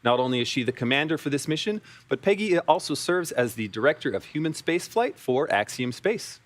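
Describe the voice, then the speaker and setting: A man co-hosting live mission coverage, reading scripted crew biographies in an even delivery. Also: a little high, a little fast. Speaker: broadcast co-host.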